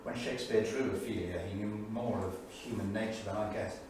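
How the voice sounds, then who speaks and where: Actor as a grieving diarist in an echoey theatre. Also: male voice, fairly low pitch, steady tone, a little fast, lightly acted. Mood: grieving, reflective. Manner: literary, solemn, emphatic. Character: grieving, bitter male academic keeping a 1938 journal. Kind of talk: staged dramatic reading of diary entries. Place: small studio theatre, staged reading. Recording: echoey theatre, distant mic, faint noise.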